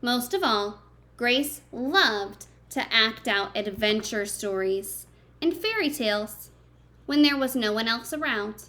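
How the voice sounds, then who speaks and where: A woman's voice: on the high side, animated, slow, pushed and bright, slight background noise. A woman reading a picture book aloud to young children like a teacher, expressively, with simple chatty asides and questions to her listeners.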